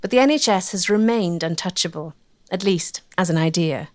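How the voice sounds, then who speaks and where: A woman's voice: lowish voice, moderate pace. A woman reading scripted documentary narration as the narrator.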